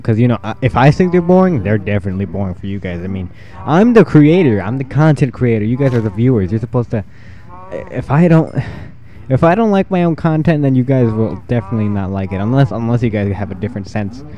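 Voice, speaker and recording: A fourteen-year-old boy with a freshly deepened voice, rambling and self-deprecating while giving casual commentary over his gameplay. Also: dark tone, highs cut off. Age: 14, teenage boy with newly deepened voice. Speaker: gaming channel host.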